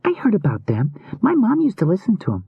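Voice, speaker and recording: A man's voice: sits high, wildly swooping pitch, fairly quick, creaky, breathy edge, no room sound, lo-fi sound, pitched above the performer's own voice, exaggerated voice. A man voicing a cool, opinionated young boy with gushing slang in an audiobook. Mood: enthusiastic. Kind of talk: audiobook character dialogue.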